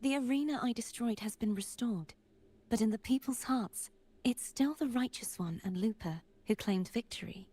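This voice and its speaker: Woman acting soft, mellow, mysterious young woman. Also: fairly high pitch, normal speed, natural voice. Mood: grave, measured. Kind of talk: voiced game dialogue.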